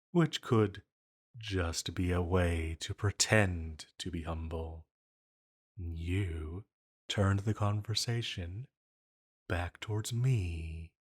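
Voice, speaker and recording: A man playing an ancient banished villain in a roleplay audio drama, flirty and condescending, with a measured, silky, intimate delivery. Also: low voice, slightly rough, exaggerated voice.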